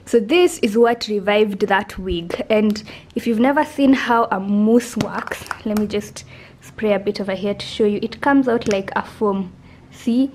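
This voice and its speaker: A young woman in her twenties with a Kenyan accent, chatting brightly to a camera held at arm's length, first in a car and then at an outdoor restaurant. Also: mid-range voice, a little slow, a little hiss or hum. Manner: bubbly, chatty, mixes in Swahili words. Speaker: vlogger. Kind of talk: casual vlog chat. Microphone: handheld camera at arm's length.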